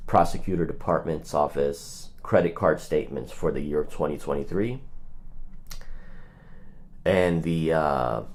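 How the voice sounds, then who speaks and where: A man's voice: deep voice, darkish tone. A man hosting a live call audit, speaking in an insistent, straight-to-the-point way as he makes phone requests and chats to his viewers. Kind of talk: phone requests and casual livestream commentary.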